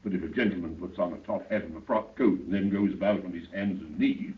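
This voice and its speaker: An actor playing a domineering, sardonic leader of anarchists in a 1930s radio drama. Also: male voice, sits low, fast, close to an everyday voice. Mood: mocking. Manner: commanding, sardonic, condescending. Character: domineering, mocking male leader of an anarchist council. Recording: tinny, distant 1930s radio recording.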